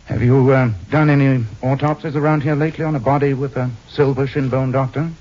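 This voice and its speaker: An actor playing a suave, unflappable amateur sleuth with dry wit in an old-time radio detective drama, wry and hesitating deliberately. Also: male voice, medium pitch, level tone, a little mellow, natural voice. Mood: cool, probing. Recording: dull, muffled old radio recording.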